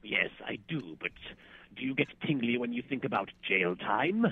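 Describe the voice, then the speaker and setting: A man putting on a gravelly, sneering villain. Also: medium pitch, expressive, moderate pace, dry recording, phone-quality sound. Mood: sardonic. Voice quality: gravelly, raspy. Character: sinister sardonic young male thief villain.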